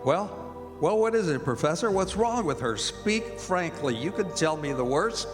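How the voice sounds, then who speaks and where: A man's voice: on the high side, expressive, normal speed, over music, close to an everyday voice. A man playing an earnest, devoted doctor who narrates a radio drama. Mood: anxious. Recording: echoey hall, live stage performance.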